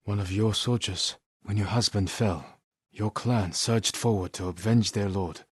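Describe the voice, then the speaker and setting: A man voicing a stoic, honorable samurai warrior in a video game, speaking in a measured, solemn and restrained way. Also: lowish voice, slightly rough, no echo, clean studio sound. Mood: solemn, resolute. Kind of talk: dramatic video game voice acting.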